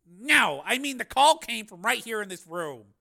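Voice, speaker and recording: A man's voice: extremely high pitch, sing-song, normal speed, creaky, bright, forceful tone, pitched above the performer's own voice, full character voice. A man voicing a baffled, defensive male technician in an audiobook, sounding plaintive and bewildered.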